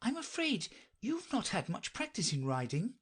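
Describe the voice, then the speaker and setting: Polite young storybook girl played by a man, inquisitive, raised pitch with a husky, breathy edge. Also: huge pitch swings, normal speed, exaggerated voice. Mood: gently doubtful. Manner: polite, questioning.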